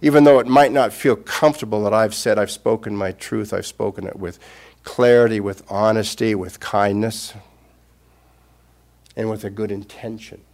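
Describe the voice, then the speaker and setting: An older man, a Buddhist teacher, giving an unscripted Dharma talk in a gentle, reflective way with pauses. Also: fairly low pitch, fairly even intonation.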